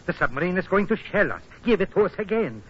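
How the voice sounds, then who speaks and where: An actor playing an earnest, grateful tanker captain, emphatic and dramatic in 1940s radio style. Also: male voice, high voice, big pitch swings, quick pace, fairly bright tone, breathy edge, exaggerated voice. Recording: muffled, noisy vintage radio recording.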